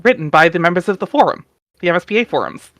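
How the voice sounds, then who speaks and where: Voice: medium pitch, expressive, fast talker, a bit bright, no room sound. A streamer hosting a dramatic reading, switching between narrating aloud and casual chat. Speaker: stream host and reader.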